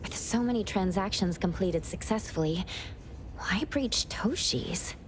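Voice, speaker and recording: A woman playing a calm, analytical young investigator in an anime game, measured and matter-of-fact as she reasons aloud. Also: mid-range voice, background noise, natural voice.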